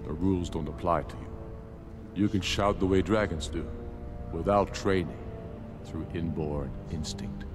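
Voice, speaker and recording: An actor playing a proud, grave, battle-hardened middle-aged rebel leader in a fantasy video game, speaking in a solemn, measured, oratorical way. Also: male voice, sits low. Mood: stern, measured. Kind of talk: scripted video game dialogue. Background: background music.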